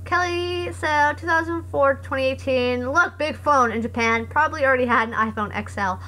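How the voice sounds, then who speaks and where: A young woman streamer with a hoarse voice, chatting casually and reading comments aloud in a rambling, sarcastic way. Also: high-pitched, moderate pace, bright, forceful tone, rough recording. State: hoarse after voice acting. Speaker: live streamer.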